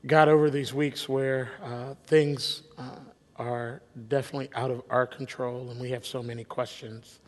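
A man preaching a sermon as pastor, halting and reflective with many restarts, his voice a little worn. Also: mid-range voice, little pitch movement. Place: church sanctuary. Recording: long hall reverb.

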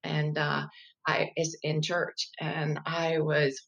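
A woman in her fifties speaks as an interview guest, her voice sitting a little low. She explains things in a measured, thoughtful way and sometimes restarts a sentence while she thinks. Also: level tone, gravelly, a bit bright, highs cut off. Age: 50s. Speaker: guest, diversity educator. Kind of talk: conversational interview.

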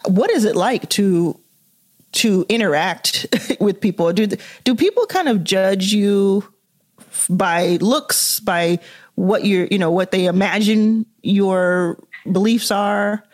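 A woman hosts a relaxed podcast conversation, working through her ideas out loud. Her voice sits in a middle register, and she leans often on "like" and "you know" as she searches for the next thought. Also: mid-range voice, slow pace. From Dallas, Texas, US. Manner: thinking aloud, frequent "like" and "you know" fillers. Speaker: podcast host. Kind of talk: casual conversational interview.

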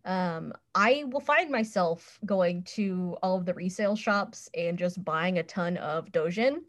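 A woman's voice: lowish voice, measured pace. A woman on a podcast panel chatting casually in a candid, playful, self-deprecating way.

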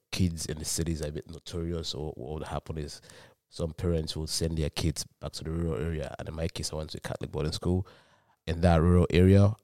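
A young man from Nigeria, a student guest on a podcast, answering questions in a halting way and often repeating his words. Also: a bass voice, darkish tone, dry recording, clean studio sound. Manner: halting, repeats words while searching for them. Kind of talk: casual interview answers.

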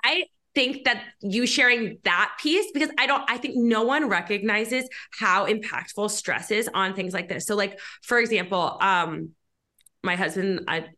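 A woman hosting a podcast, candid and animated, sharing personal stories as she chats with her guest. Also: medium pitch, a little slow. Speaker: podcast host. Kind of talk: conversational podcast interview.

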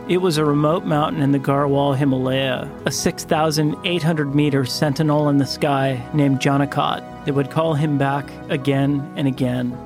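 A man hosting a podcast, narrating a scripted introduction in a measured, deliberate way. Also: on the high side. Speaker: podcast host. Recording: music bed under narration.

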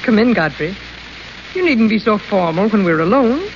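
A woman's voice: mid-range voice, lively intonation, normal speed, natural voice. An actress playing a haughty, scheming rich young woman in 1930s radio acting, cool and commanding, on a muffled old radio broadcast. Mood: sly.

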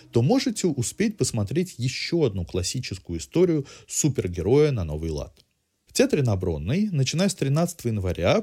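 A man's voice: on the low side, warm tone, husky. A man hosting a podcast, reading a scripted cultural review in an articulate, literary way. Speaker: podcast host. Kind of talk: scripted cultural news narration.

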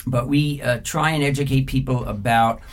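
A middle-aged man answering interview questions thoughtfully, pausing often with fillers. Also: mid-range voice, fairly even intonation. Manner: thoughtful, halting, many filler pauses. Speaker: interview guest, former journalist.

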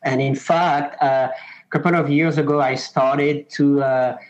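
A man's voice: on the high side, fairly even intonation. French-accented man, muffled sound. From Mauritius. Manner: thoughtful, many "uh" pauses. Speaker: sales professor, guest. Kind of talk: interview answers.

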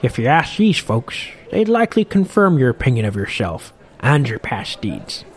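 A man's voice: mid-range voice, very animated, normal speed, a faint crowd. A man voicing a short, jolly old fishing boat captain who talks in a chatty, boisterous, lilting way.

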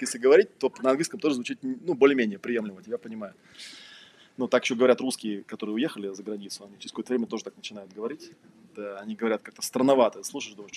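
Male lecturer, rapid delivery, husky tone, informal and rambling, wry storyteller. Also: medium pitch, darkish tone. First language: Russian. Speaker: trainer, lecturer. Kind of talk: informal lecture with anecdotes and examples.

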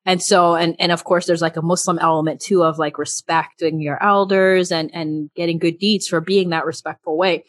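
A woman co-hosting a podcast, talking in a lively, chatty way with frequent laughs. Her voice sits a bit low, and the sound is close and dry. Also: moderate pace, few pauses, dry recording. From United States. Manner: animated, chatty, laughs often. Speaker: podcast co-host. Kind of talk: casual chat.

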